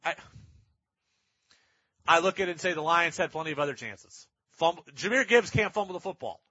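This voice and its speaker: A man with a high-pitched, forceful voice hosts sports talk. He argues emphatically and circles back to hammer his points. The sound is dry and close, a little dull in fidelity. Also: expressive, brisk, breathy edge, no echo. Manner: emphatic, repeats points for effect. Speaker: sports talk show host. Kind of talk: opinionated sports talk commentary.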